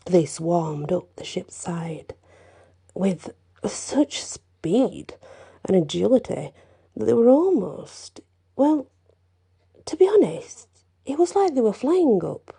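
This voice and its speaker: Woman storyteller, dark husky voice, hushed and unhurried, slips into whispers. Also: medium pitch, big pitch swings. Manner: slow, hushed, with whispered passages and casual asides. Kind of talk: reading a folktale aloud in first person.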